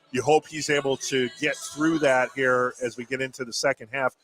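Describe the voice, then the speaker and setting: A man's voice: mid-range voice, fairly even intonation, normal speed, fairly bright tone, soft background music, rough recording. A man giving conversational color analysis during a live basketball radio broadcast. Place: at a basketball game.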